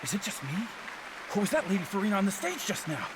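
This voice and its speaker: A man's voice: high voice, big pitch swings, quick pace, heavy background noise. A man voicing a startled bystander in a crowd. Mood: surprised.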